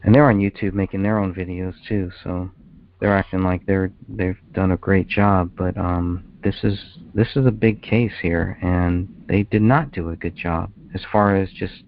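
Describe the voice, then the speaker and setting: A very deep-voiced man with a gravelly fry discusses things casually. He halts and repeats phrases as he goes. The sound is a thin, muffled phone conference line. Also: fairly even intonation, moderate pace. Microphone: phone conference call.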